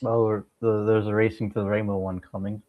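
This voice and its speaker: A man chatting casually as a podcast guest, laconic and halting in his speech, on slightly lo-fi audio. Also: on the low side, little pitch movement. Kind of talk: casual chat.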